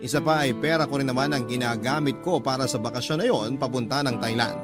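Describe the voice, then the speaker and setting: A man's voice: medium pitch, quick pace, natural voice. An actor playing an earnest young Filipino man narrating his own story in a scripted radio drama style, with a Filipino accent. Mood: earnest, reflective. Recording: music bed under narration.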